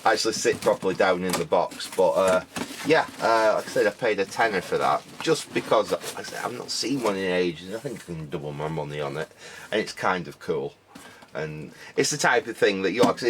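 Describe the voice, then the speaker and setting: A deep-voiced man chats about secondhand finds, rambling along with plenty of ums and "like I said". His voice sounds a little croaky, with a faint hum of ambient noise behind him. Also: fairly bright tone. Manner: rambling, repeats "like I said", many ums. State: slightly croaky voice. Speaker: vlogger showing car boot finds. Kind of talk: casual chat to camera.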